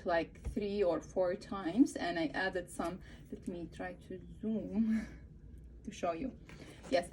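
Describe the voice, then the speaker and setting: A woman in her thirties from Egypt, an Arabic speaker with an Egyptian accent, chatting through a craft tutorial at home and often pausing to search for words. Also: mid-range voice, darkish tone, a little hiss or hum. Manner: hesitant, searching for words with many fillers. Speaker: craft vlogger. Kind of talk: casual tutorial chat.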